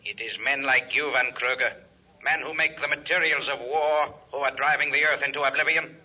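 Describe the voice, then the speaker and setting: An actor playing an arrogant visitor from outer space in a 1950s radio serial, giving orders in stilted, formal speech. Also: male voice, mid-range voice, steady tone, normal speed, pushed and bright. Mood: stern, threatening. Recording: dull, raspy 1950s radio recording.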